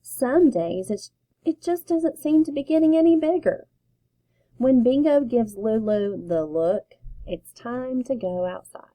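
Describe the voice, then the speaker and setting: A woman teacher reading a children's picture book aloud, with slow, expressive storytelling. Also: on the high side, dry recording, poor audio.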